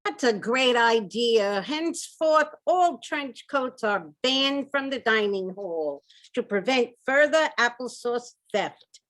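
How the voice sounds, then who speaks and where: A woman's voice: a little high, expressive, slow, a bit bright, poor audio. A woman playing an officious, easily appalled senior center director in an old-time radio comedy.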